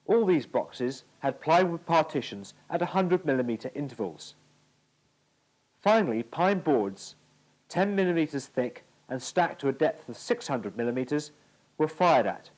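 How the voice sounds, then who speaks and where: A man's voice: a little high, animated, moderate pace, poor audio. A British man giving formal, precise scripted narration for an instructional military training film from the 1980s.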